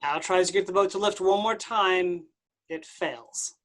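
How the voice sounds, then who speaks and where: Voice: medium pitch, measured pace, a bit bright. A matter-of-fact reader giving scripted stage directions for a play.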